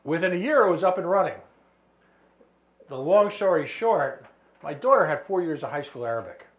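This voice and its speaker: Casting: middle-aged male talk radio host, somewhat high voice, emphatic storyteller. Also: lively intonation, moderate pace. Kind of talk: radio talk show conversation.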